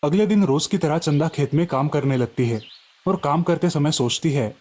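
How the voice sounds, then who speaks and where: Higher-voiced man narrating a children's story. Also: normal speed, darkish tone.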